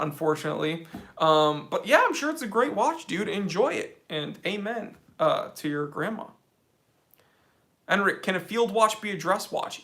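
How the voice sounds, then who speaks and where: Man, casual live Q&A host, bright tense tone, slightly high voice, slangy and easygoing. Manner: laid-back, slangy, reads chat aloud. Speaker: host answering live chat questions.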